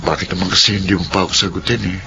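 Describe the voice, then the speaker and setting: A man's voice: deep voice, steady tone, very fast. An actor playing an eager, cheeky, lovestruck young man in a Filipino audio drama. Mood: cheeky pining. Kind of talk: Filipino radio-style drama acting. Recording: dull lo-fi audio with background music.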